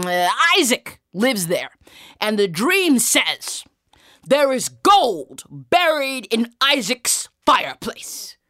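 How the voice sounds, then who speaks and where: A woman voicing a gruff, scornful male soldier on guard in an oral folktale, with an emphatic, blustering, commanding manner. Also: on the high side, bright, forceful tone, dry recording, clean studio sound, voice pushed up, heavily acted. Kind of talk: theatrical oral storytelling.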